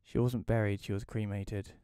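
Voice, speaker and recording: A man playing a bitter, reclusive widower who narrates an audio play in a wry, deadpan way. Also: fairly low pitch, moderate pace, clean tone, dry recording, clean studio sound, lightly acted. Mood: weary, bitter.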